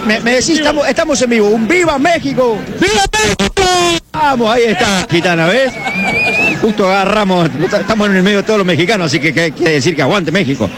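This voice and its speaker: A man's voice: high voice, big pitch swings, fast. A man with an Argentine accent doing chatty, informal live reporting among football fans, often tagging questions onto his sentences. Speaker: roving radio reporter. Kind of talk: live street reporting and fan interviews. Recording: outdoor street crowd and traffic noise.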